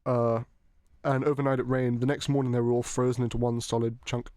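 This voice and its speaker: A young man with a British accent chats casually as podcast host, rambling from one thought to the next and dropping the odd swear word. His voice sits at an ordinary mid pitch. The sound is dry and close. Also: level tone, mellow, dry recording. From Hong Kong. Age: young adult. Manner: rambling, swearing, quick laughs. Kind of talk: casual chat.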